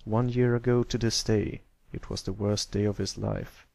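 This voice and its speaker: A man's voice: on the low side, fairly even intonation, moderate pace, creaky, darkish tone, no room sound. A man reading scripted story narration as an amateur narrator. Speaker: amateur fan fiction narrator.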